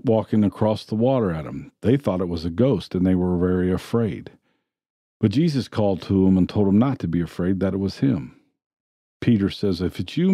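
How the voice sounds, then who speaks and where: A man preaching a sermon in a church, retelling scripture stories in a plain, steady way. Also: low voice, dry recording, clean studio sound. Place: church sanctuary.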